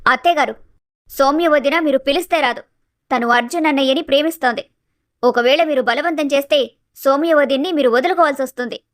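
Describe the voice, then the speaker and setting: High-voiced, firm young daughter-in-law played by a woman. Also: a little fast, lightly acted. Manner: firm, polite, reproachful. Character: composed, outspoken young daughter-in-law standing her ground. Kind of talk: animated family story acting.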